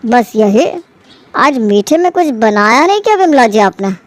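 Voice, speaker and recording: A woman's voice: a little high, sing-song, normal speed, dry recording. A woman voicing a jovial middle-aged father who loves rich food and talks heartily. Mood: delighted.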